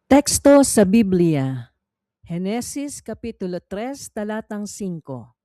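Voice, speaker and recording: A woman reading a scripted Bible quiz in a measured, formal, instructional manner. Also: mid-range voice, sing-song, dark tone, no room sound, studio quality. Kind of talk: scripted read-along narration.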